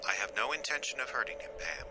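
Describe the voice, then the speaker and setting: An actor playing a coolly menacing, condescending alien invader in an audio drama, speaking in a measured, threatening way. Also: male voice, fairly low pitch, expressive, over music, natural voice, poor audio. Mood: cold threat.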